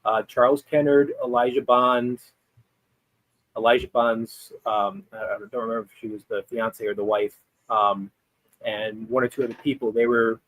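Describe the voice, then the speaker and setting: A man appears as a guest expert on a video call, explaining history in a loose, conversational way and often pausing on ums and restarting his sentences. His pitch is mid-range. The sound is dry and close. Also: fairly even intonation, a bit bright, no room sound, low-quality recording. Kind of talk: explaining history in casual chat.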